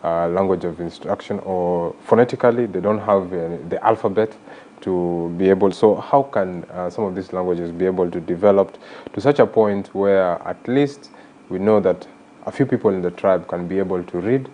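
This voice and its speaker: A man hosting a radio talk show, listening with steady murmurs and asking long, measured questions. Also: low voice, lo-fi sound, a little hiss or hum. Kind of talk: radio interview conversation.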